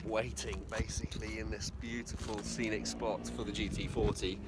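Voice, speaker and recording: Man, low-pitched, stuffy with a cold, chatty vlog host, outdoors with loud passing cars. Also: little pitch movement, normal speed. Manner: chatty, dry understatement. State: congested, bunged up with a cold. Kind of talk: casual vlog narration. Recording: loud outdoor traffic noise.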